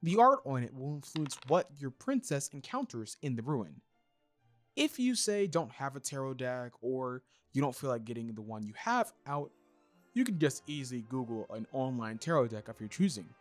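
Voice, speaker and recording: Guy doing a scripted review voiceover, really lively up and down pitch, super dry sound, soft music under it. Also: moderate pace, no room sound. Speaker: reviewer and host.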